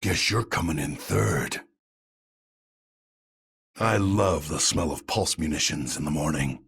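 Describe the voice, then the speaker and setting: An actor playing a grizzled older American soldier vigilante in a video game, weary, stern and terse, with a gravelly rasp. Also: male voice, extremely low pitch, slow, a bit bright, full character voice. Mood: gruff, defiant. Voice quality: gravelly, raspy. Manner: terse, hard-bitten. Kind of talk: video game character voice acting.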